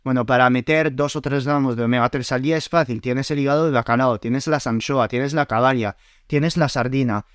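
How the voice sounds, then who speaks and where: A man's voice: mid-range voice, brisk, clear, resonant tone, no echo, clean studio sound. French-accented man explaining nutrition. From France. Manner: friendly, explains science step by step with fillers. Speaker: nutrition YouTuber. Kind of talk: explanatory talk to camera.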